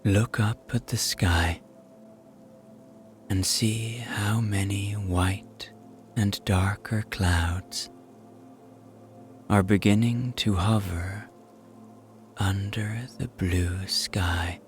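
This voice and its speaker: A man narrating a scripted guided meditation in a soothing, hushed, gentle manner. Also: fairly low pitch, steady tone, very slow, pauses often, faint music behind.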